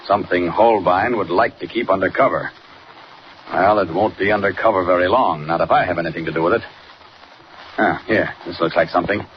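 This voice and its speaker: An actor playing a confident, resolute superhero who muses aloud to himself, in 1940s radio style. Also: male voice, low-pitched, fairly quick, natural voice. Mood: curious. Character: confident, resolute male superhero investigating alone. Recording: muffled, noisy 1940 radio recording.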